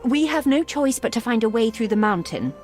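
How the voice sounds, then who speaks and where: An actress playing an earnest, devoted young sister and adviser in a video game. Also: female voice, fairly high pitch, animated, brisk, darkish tone, faint music behind, natural voice. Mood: fervent.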